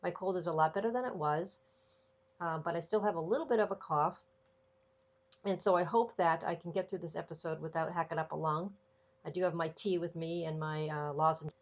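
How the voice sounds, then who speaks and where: Lady podcasting with a stuffy cold, low gravelly voice, kinda dull lo-fi sound, lots of ums. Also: steady tone, a little fast. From Boston, Massachusetts. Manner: chatty, frequent ums and uhs. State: congested from a cold. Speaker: podcast host. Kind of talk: casual podcast monologue.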